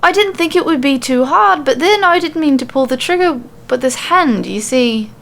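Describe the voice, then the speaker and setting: Woman putting on a rambling, high-pitched wounded wanderer woman. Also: expressive, moderate pace, creaky, fairly bright tone, heavily acted. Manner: rambling, self-justifying.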